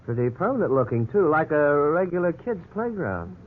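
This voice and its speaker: An actor playing a thrifty young husband in a 1950s radio drama, with an easygoing, folksy manner and dry jokes. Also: male voice, a little high, big pitch swings, moderate pace. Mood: easygoing approval. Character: wry, thrifty young husband wary of house prices. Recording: tinny, hissy 1950s radio recording.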